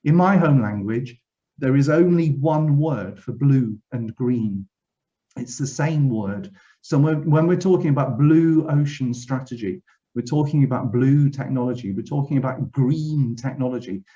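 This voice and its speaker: Welsh man, formal speech, reflective, frequent restarts, mellow voice, dull webinar sound. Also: mid-range voice, unhurried. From Wales. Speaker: university director general giving a webinar address. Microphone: video call.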